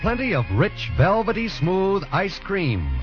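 An American man delivering a scripted advertising pitch as a 1940s radio commercial announcer. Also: very animated, unhurried, over music. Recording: muffled 1940s radio recording.

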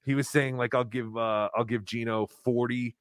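A man's voice: mid-range voice, fairly even intonation, normal speed, no room sound, studio quality. A man co-hosting a livestream, reading donations and chatting casually, swearing and laughing as he talks. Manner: loose, swearing, laughs while talking. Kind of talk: casual profane chat.

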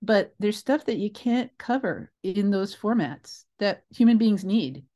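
An older woman speaking as an interview guest, telling anecdotes in an expressive, emphatic, rambling way with asides. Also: mid-range voice, measured pace. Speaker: guest, theatre creator. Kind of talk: animated anecdotal storytelling in an interview.

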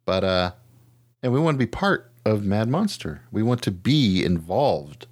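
Deep-voiced man chatting casually on a podcast. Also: sing-song, measured pace, no room sound. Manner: informal, mildly profane, self-deprecating. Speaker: podcast host.

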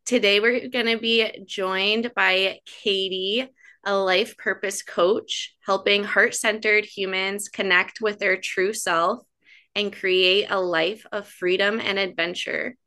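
A woman hosting a wellness podcast, reading an upbeat scripted intro and guiding slow breathing. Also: medium pitch. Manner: upbeat, slows to a calm guiding pace. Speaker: podcast host.

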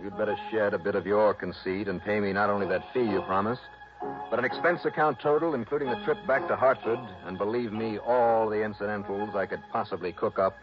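An actor playing a no-nonsense, wry insurance investigator in a 1960s radio detective drama, speaking in a brusque, insistent way. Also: male voice, on the low side, fairly quick, over music, close to an everyday voice. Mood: wry, dramatic. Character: brisk, wry, hard-nosed male insurance investigator. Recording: muffled vintage radio recording.

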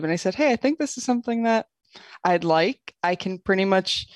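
Soft-spoken, hesitant woman guest. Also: on the low side, dry recording. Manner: modest, hesitant with many ums. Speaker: guest blogger. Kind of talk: casual interview answers. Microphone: webcam mic.

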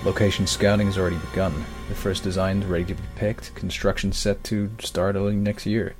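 An actor playing a composed, single-minded scientist in an audio drama, measured, assured and persuasive. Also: male voice, deep voice, flat delivery, lightly acted. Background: music.

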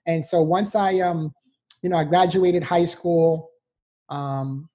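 Upbeat, mellow-voiced New man. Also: a little high, slow. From New York, USA. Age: 40s. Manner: earnest, upbeat, with light laughs and fillers. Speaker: motivational speaker guest. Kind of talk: interview storytelling.

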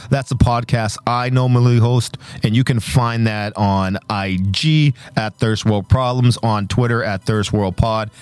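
Man, podcast host, mid pitch, chatty promotional outro, very dry recording. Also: darkish tone, smooth, dry recording.

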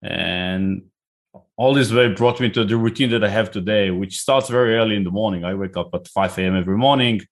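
A middle-aged man with an Israeli accent speaks in a lowish voice. He talks casually and at length as an interview guest, often stumbling over repeated words at the start of a thought. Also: brisk. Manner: repeats words when starting, chatty and relaxed. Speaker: guest entrepreneur.

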